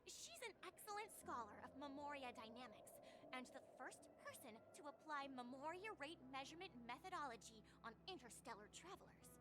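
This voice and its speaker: Game role: young female surveyor, obsessive and earnest, extremely high-pitched, urgent delivery. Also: sing-song, brisk. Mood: eager, reverent. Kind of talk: video game character acting.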